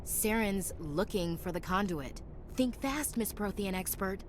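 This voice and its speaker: A woman's voice: mid-range voice, animated, unhurried, lightly acted. Blunt, suspicious soldier with faint background noise woman acting. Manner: blunt, sceptical. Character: tough, suspicious female human soldier squadmate. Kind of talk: video game voice acting.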